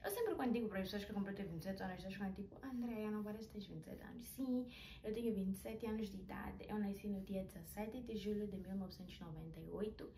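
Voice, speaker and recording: A woman tells a personal story in a chatty, animated way, filmed in a small bedroom with the camera some distance away. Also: medium pitch, quick pace, creaky. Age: 20s. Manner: chatty, animated, with hand gestures. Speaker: vlogger. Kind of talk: casual storytelling vlog. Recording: roomy, a little distant, faint hiss.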